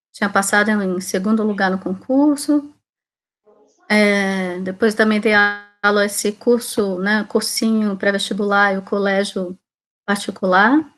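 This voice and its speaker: A woman in her forties with a Brazilian accent speaks at a middle pitch. She talks informally, like a teacher sharing her experience. Her delivery is conversational, with frequent little tag questions to check in with listeners. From Salvador, Bahia, Brazil. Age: 40s. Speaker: physics teacher giving a guest talk. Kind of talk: informal online talk about own career.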